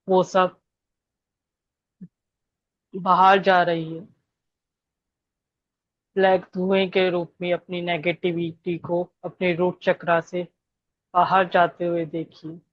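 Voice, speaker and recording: A woman leading a guided meditation, giving gentle instructions with long pauses between phrases. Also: fairly low pitch, no room sound, muffled recording.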